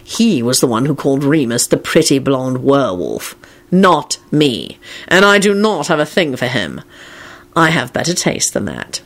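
A woman's voice: rumbling low, sing-song, normal speed, voice pushed down, full character voice. A woman voicing a cold, menacing senior wizard, a man with a snarling manner, in a story. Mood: cold menace.

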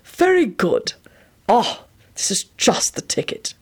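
A woman voicing a drowsy, whimsical old male wizard who speaks slowly and loftily, with lively pitch. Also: breathy edge, exaggerated voice. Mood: serene. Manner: slow, lofty and mannered.

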